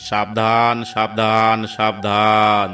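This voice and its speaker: A man voicing a village crier in a cartoon, calling out warnings in a slow, drawn-out way. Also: lowish voice, monotone, bright, forceful tone, far from the mic, soft background music. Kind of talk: Bengali cartoon dubbing.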